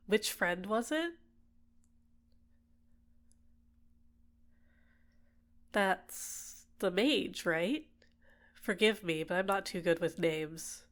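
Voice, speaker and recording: Woman playing a low-voiced, doting villainess. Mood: curious, coaxing. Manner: gentle, coaxing, soothing, lightly teasing. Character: doting, possessive female villain caring for a captive. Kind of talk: ASMR roleplay, personal attention.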